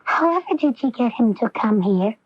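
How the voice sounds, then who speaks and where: An actress playing an earnest, intense young daughter in a 1980s radio drama, with deliberate, theatrical phrasing. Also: female voice, fairly high pitch, expressive, no room sound. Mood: eager, insistent. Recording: dull, dry AM radio recording.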